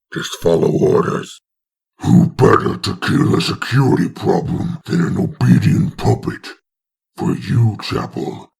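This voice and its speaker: Sardonic demon narrator, extremely croaky and hoarse-edged, dramatic comic storytelling. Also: male voice, low voice, full character voice. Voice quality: gravelly, raspy. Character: sinister demonic male narrator, mocking and knowing.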